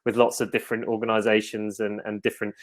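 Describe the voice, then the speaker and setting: A man's voice: fairly low pitch, completely flat delivery, fast talker. A man hosting an online interview in a polite, encouraging, conversational way. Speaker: host of an online Q&A. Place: online video call.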